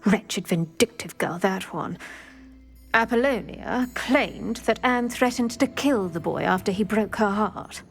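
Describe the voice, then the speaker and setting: An actor voicing an older retired Auror woman who speaks briskly and formally in scripted game dialogue. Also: mid-range voice, creaky, faint music behind. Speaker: retired Auror guiding a student.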